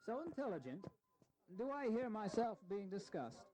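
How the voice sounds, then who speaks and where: A charming, learned American diplomat of the founding era in an audio drama. His voice is mellow and relaxed, his phrasing articulate and measured, with a gentle teasing edge. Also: sits high, low-quality recording. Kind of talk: historical audio drama acting.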